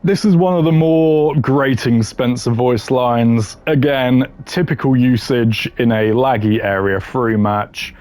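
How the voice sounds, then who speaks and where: A man's voice: mid-range voice, measured pace, hardly any pauses, darkish tone. A man giving casual, opinionated gaming commentary in a blunt, slangy way, ranking voice lines. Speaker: gamer ranking game voice lines.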